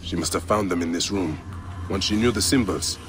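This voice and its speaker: An actor playing a stern, grim warrior hunting a killer, low, measured and grave. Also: male voice, noisy background, lightly acted. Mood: investigating.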